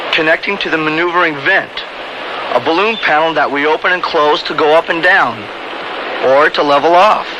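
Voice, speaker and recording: An American man playing a reckless, smooth-talking young balloon pilot in a radio drama. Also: fairly high pitch, moderate pace, close to an everyday voice. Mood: confident, explaining. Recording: noisy old radio drama recording.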